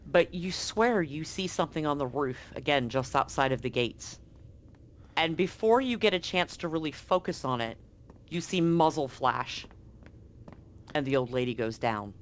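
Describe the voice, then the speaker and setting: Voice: medium pitch, fairly bright tone, slight background noise. A game master narrating a tabletop roleplaying game in an informal, sweary way.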